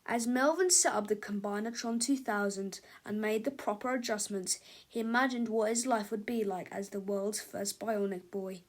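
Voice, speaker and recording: A woman's voice: medium pitch, normal speed. A woman reading a children's book aloud as scripted narration.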